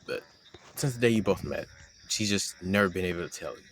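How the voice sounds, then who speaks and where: A man playing a shy, teasing young man secretly in love with his friend, in an intimate audio roleplay with a halting delivery. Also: sits low, animated, a little hiss or hum. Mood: playful, nervous.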